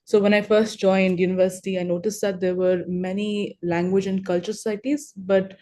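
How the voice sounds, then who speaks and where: A young woman with a mellow, rather dark voice talks through a video call, chatty and self-assured. She is from Hyderabad and Telugu is her first language. Her talk keeps rolling along with small fillers. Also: mid-range voice, flat delivery, moderate pace. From Hyderabad, India. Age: 20s. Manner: chatty and confident, rambling with fillers. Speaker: alumni panelist.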